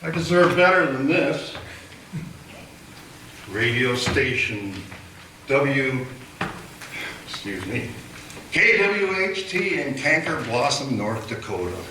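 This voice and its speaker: A man's voice: medium pitch, sing-song, takes it slowly, pauses often, noisy background. An actor playing a self-pitying male radio station janitor who grumbles and cracks jokes in a live radio play, recorded from a distance in an echoey hall. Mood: mopey. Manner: sulky, wisecracking.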